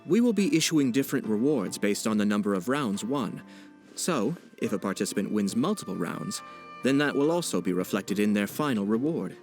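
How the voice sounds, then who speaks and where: An actor playing a poised young nobleman in a video game, speaking in a formal, measured, politely wry way. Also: lowish voice, very animated, darkish tone. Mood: calm, courteous. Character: poised young nobleman, courteous, composed and subtly teasing. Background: background music.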